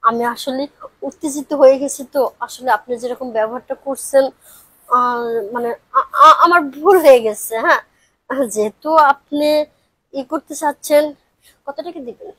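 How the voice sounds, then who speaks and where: A woman's voice: sits high, normal speed, pauses often, pushed and bright, no echo, rough recording. A woman playing a feisty, insistent female delivery worker in an amateur melodramatic skit. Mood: conceding, bargaining. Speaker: actor in an amateur drama video.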